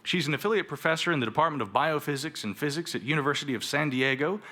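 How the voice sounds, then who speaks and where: A man as conference host, reading a speaker's introduction in a measured way. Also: fairly high pitch, animated, bright, forceful tone.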